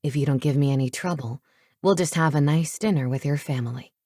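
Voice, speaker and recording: A woman's voice: deep voice, fairly quick, warm tone, no echo, studio quality, voice pushed down. A woman voicing a controlling teenage boy in an audiobook, curt and commanding with a sarcastic edge. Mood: light, mocking. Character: controlling, menacing teenage boy, coolly taunting.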